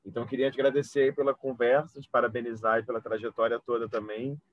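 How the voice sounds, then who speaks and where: Interview host: Brazilian man, thirties, mid-pitched, casual delivery, dry and slightly dull call audio. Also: flat delivery, fast talker, no room sound. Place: indoor room with bookshelves, video call.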